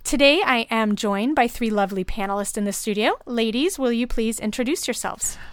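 A woman's voice: a little high, brisk, smooth, dry recording, clean studio sound. Upbeat woman hosting a podcast. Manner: upbeat, reading from a script. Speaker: podcast host and lactation consultant. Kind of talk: scripted podcast welcome.

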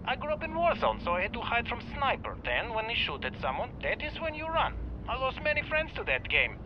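A man's voice: very high voice, wildly swooping pitch, brisk, highs cut off, noisy background, exaggerated voice. An actor playing a nervous foreign male informant who speaks broken, ungrammatical English in a comedic audio drama. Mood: earnest.

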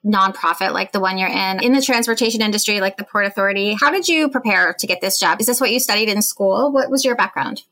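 A woman's voice: mid-range voice, fast talker, hardly any pauses, bright, forceful tone. A woman interviewing a guest in a conversational style.

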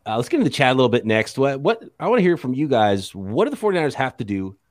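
A man co-hosting a sports podcast, talking casually with an animated, joking delivery. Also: mid-range voice, racing, no room sound, clean studio sound.

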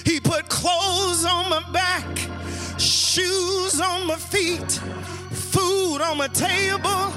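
A man voicing an earnest young sailor who recounts how he was rescued, in a chanted, drawn-out cadence. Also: very high-pitched, fairly even intonation, over music, heavily acted.

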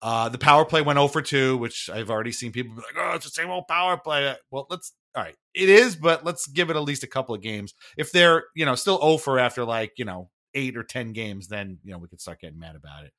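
A male sports podcast host with a bright, forward voice at an ordinary pitch. He recaps games casually, in a conversational and slightly wry way, often qualifying what he says. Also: lively intonation, quick pace, few pauses. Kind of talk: casual hockey game recap chat.

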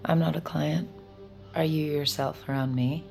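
A woman's voice: sits low, fairly even intonation, moderate pace, vocal fry, darkish tone, faint music behind. An actress playing a caring, anxious young girlfriend in a naturalistic, intimate audio drama, tender and tentative.

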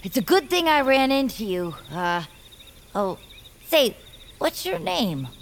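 A woman's voice: sits high, big pitch swings, slow, slight background noise, exaggerated voice. A woman voicing a young, mopey woodland creature in a family audio drama, with a dry, skeptical, hesitant manner. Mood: wry, doubtful. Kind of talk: family audio fiction character acting.

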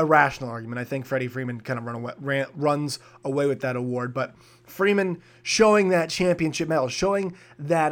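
Young guy w a raspy voice, kinda high for a man, chatty opinionated sports podcast host. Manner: energetic, opinionated, with frequent fillers and restarts. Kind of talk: casual sports podcast commentary.

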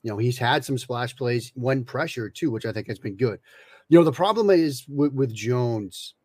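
A man's voice: medium pitch, expressive, moderate pace, a little mellow, no room sound. A man talking as a guest analyst, laying out points methodically with frequent fillers and self-restarts. His voice is dry and close, with no room sound. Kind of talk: casual podcast conversation.

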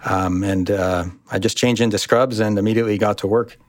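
An American man telling personal stories as an interview guest, in a reflective, halting way. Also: on the low side, fairly even intonation, dry recording, studio quality. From United States.

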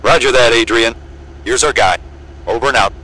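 A man's voice: mid-range voice, moderate pace, sharp tone, low-quality recording. An actor playing a breezy, matter-of-fact, seasoned male Antarctic pilot in an audio drama. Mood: businesslike. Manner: breezy, matter-of-fact, wry, salty-tongued. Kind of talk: naturalistic audio drama acting with aviation radio jargon.

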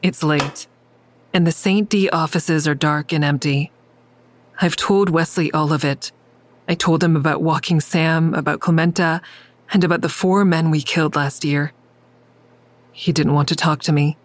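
A woman's voice: low-pitched, steady tone, normal speed, no room sound, lightly acted. A woman playing a troubled woman who tells her own story in scripted first-person audio drama narration. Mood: somber, anxious.

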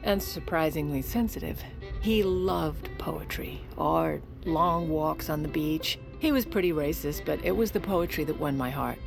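Wry, low-voiced Lady. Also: measured pace, gravelly, music in the background. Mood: wry, confiding. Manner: wry, deadpan asides. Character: Edith Wilson, shrewd, wry, self-assured American First Lady. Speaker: narrator and lead character. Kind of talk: audio fiction comedy, conversational narration.

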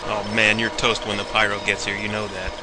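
A man's voice: on the low side, monotone, a little fast. A man giving a casual, lightly comic recorded update as a correspondent. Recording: noisy room hum behind voice.

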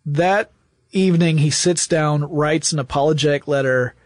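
A man with a fairly high voice explains history as a show co-host. His delivery is conversational and story-driven, leaning on repeated words for emphasis. The sound is dry, with very little room in it. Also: moderate pace, dry recording. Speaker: podcast co-host.

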